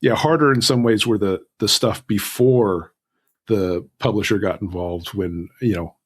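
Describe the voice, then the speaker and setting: A man's voice: fairly low pitch, unhurried, slightly rough. A man talking casually as an interview guest, telling stories in a self-deprecating way with acted-out asides. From Southern California. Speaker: guest author.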